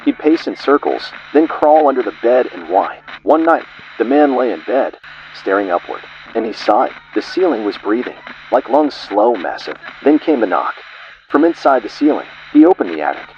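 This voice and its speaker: A man's voice: medium pitch, animated, moderate pace, darkish tone, muffled recording, slight background noise. A man giving ominous scripted horror narration, delivered in short clipped fragments.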